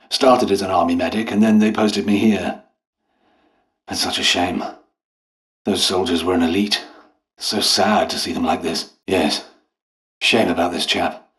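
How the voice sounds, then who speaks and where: An actor playing a polite, eccentric British doctor and scientist in a video game, with refined, hesitant, apologetic and understated speech. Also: male voice, on the low side, natural voice. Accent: British English. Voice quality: refined, well-spoken. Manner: courteous, hesitant, understated, chatty.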